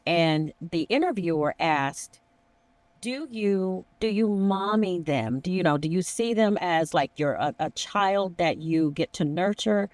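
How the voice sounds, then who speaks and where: A woman's voice: on the low side, lively intonation, measured pace, darkish tone, no echo. A middle-aged woman hosting a conversational discussion, speaking emphatically and slipping into imagined dialogue. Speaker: podcast host, emergency dispatch veteran.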